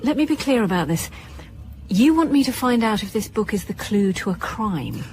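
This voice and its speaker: A woman playing a sharp, dryly witty senior police detective in a naturalistic radio drama, measured and polite. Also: mid-range voice, sing-song. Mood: questioning, composed.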